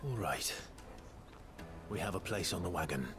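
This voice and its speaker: An actor playing a stoic, guarded mercenary in a video game cutscene, with a gruff voice. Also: male voice, low voice, animated, normal speed. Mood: calm, resolute. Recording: music behind the voice.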